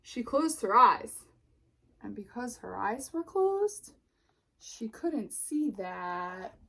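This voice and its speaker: A woman narrates a picture book for children. Her voice is fairly high and softly breathy, with animated rises and falls. She takes her time and leaves dramatic pauses. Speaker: storybook reader. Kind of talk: expressive children's read-aloud narration.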